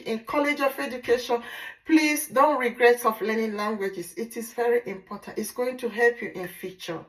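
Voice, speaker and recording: A Nigerian woman whose first language is Igbo, speaking English with a Nigerian accent as a language teacher in a casual vlog, drilling words slowly and repeating them. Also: on the high side, a bit bright, poor audio. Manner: repetitive drilling, slow deliberate pronunciation.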